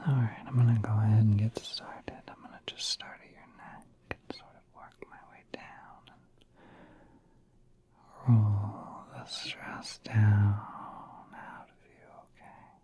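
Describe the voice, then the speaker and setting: A man voicing a gentle, courteous spider prince in a soft, breathy, reassuring whisper for an ASMR roleplay. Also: lowish voice, fairly even intonation, lightly acted. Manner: soft, unhurried, reassuring, hesitant pauses.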